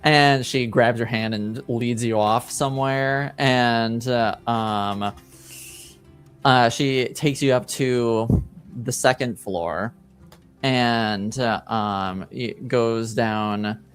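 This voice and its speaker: A man runs a tabletop roleplaying game, improvising the narration. His medium-pitched voice moves slowly and haltingly, with soft music in the background. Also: smooth. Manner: halting, frequent pauses. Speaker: game master.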